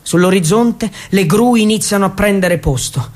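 Casting: male stage storyteller, high-pitched voice, theatrical and ironic delivery of a narrative monologue. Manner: theatrical, with deliberate repetitions and ironic asides. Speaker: actor and storyteller.

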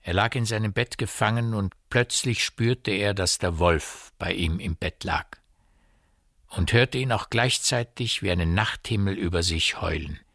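A man narrating a radio play in a measured, precise literary style. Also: fairly low pitch, creaky, a bit bright, no room sound, clean studio sound.

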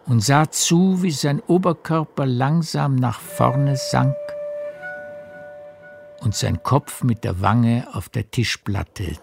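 A man narrating a literary radio play in a measured storytelling manner. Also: mid-range voice, creaky. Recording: music behind the narration.

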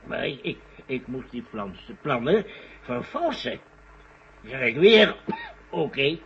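An actor playing a tipsy, gloating old scientist, lecherous and rambling, in a vintage radio drama. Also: male voice, medium pitch, very animated, slow, fairly bright tone, heavily acted. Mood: gloating, gleeful. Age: older. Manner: lecherous, gleeful, rambling, chuckling. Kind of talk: 1970s Dutch radio drama acting. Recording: dull, noisy old radio recording.

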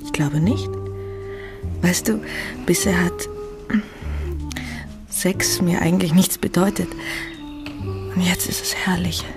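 A woman's voice: lowish voice, steady tone, slow pace, pauses often, over music. An actress playing a yearning upper-class married woman in a radio drama, speaking in an intimate, hesitant, pleading way. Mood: confiding, elated.

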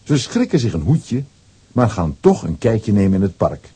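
A man narrating a children's audio play as a storyteller, reading scripted lines with lively expression. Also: fairly low pitch, moderate pace, breathy edge, heavily acted. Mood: animated storytelling. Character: male storyteller of a children's comic adventure.

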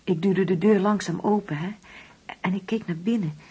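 An actress playing a wistful, uneasy older mother in a Dutch radio play, speaking hesitantly and brooding, at times hushed. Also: mid-range voice, moderate pace, slightly rough. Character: wistful, uneasy ageing mother grieving an absent son.

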